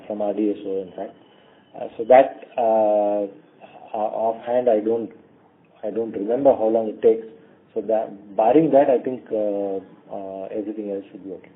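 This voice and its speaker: A man with an Indian accent answering questions as a company executive on an earnings call, in a measured, halting way with many long hesitations. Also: fairly low pitch. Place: conference call. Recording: narrowband tinny phone conference line.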